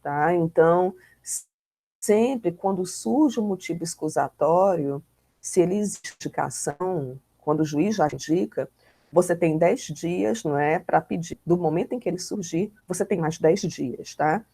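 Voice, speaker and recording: A Brazilian woman lectures in a didactic but conversational manner, with frequent tag questions. Her voice is mellow and dark, with a gravelly creak running through it. The sound is close and dry. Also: lowish voice, unhurried, dry recording. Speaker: law lecturer. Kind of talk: informal online lecture explaining with examples.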